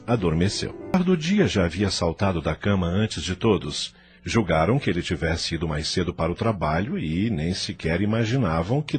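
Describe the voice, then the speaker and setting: A man narrating an audio novel from a script in a solemn, theatrical, dramatic manner. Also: deep voice.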